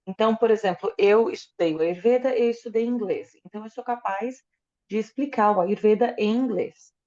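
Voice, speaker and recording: A woman interprets a lecture sentence by sentence, filling gaps with hesitations and quick restarts. Her voice is dark and mellow, and the recording sounds somewhat dull and muffled. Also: mid-range voice. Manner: hesitant, with fillers and self-corrections. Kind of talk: consecutive interpreting into Portuguese.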